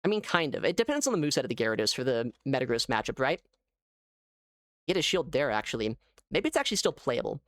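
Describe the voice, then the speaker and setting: A man giving casual live gameplay commentary as a streamer, thinking aloud in an animated way. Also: medium pitch, fast talker, no echo, clean studio sound. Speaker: gaming streamer.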